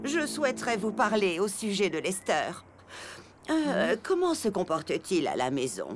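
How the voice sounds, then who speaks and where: Strict, lively-pitched cartoon schoolteacher. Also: female voice, normal speed. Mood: concerned, formal. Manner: strict, formal, scolding. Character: stern, humourless elephant schoolteacher woman. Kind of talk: French children's cartoon dialogue. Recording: loud music underneath.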